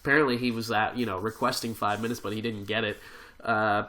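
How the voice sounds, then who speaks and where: Hoarse, nasal man with a bright edge. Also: lowish voice, fairly even intonation, fast. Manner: chatty, laughs often. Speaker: podcast host. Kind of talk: casual chat.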